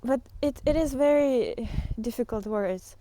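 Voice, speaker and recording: A woman giving an earnest, unscripted spiritual talk as a teacher, speaking haltingly with pauses and repetitions. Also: fairly high pitch, mellow. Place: outdoors in the wind. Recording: windy outdoor recording with clear noise.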